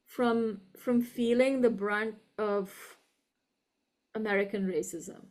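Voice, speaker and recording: A woman with an educated upper-class Indian English accent, speaking reflectively and articulately in an interview, deliberate and emphatic, often checking in with "right?". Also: mid-range voice, steady tone, a little mellow. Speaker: guest, university professor.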